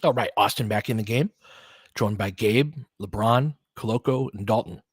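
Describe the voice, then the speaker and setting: A man's voice: lowish voice, moderate pace, breathy edge, no room sound. A man doing casual live basketball play-by-play in clipped phrases, with conversational analytical asides. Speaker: play-by-play commentator and host.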